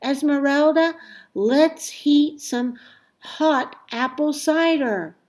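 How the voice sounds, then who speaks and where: A woman's voice: high voice, slow, a little mellow, pitched above the performer's own voice. A woman voicing a weary but determined countrywoman given to grumbling and exclaiming in a storybook. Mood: excited, welcoming.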